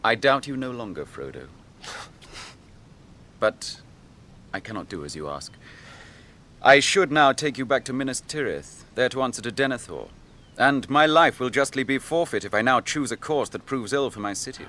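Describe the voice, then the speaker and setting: An actor playing a grave, noble young captain grieving his brother, in measured, archaic speech for a fantasy radio drama. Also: medium pitch, lively intonation, pushed and bright, a little hiss or hum. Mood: grave, probing.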